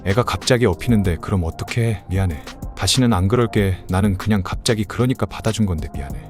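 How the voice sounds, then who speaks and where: A man's voice: on the low side, fast, a little mellow, faint music behind, natural voice. A man acting out a meek, apologetic husband pleading with his wife in a radio drama. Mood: apologetic, defensive.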